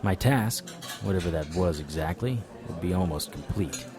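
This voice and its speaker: A man's voice: sits low, lively intonation, moderate pace, crowd noise. An actor playing a hard-bitten male monster hunter, narrating noir-style in first person with dry, wry delivery.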